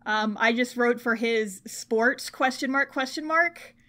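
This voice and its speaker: A woman co-hosting a podcast in casual chat, playful and quick to exclaim. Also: on the high side, pushed and bright. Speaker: podcast co-host. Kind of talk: casual chat about idol anime.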